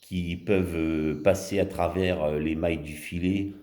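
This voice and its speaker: A man giving casual spoken commentary in a vlog, halting and blunt, with many fillers and restarts. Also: low-pitched, steady tone, dark tone. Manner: indignant, blunt. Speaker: vlogger.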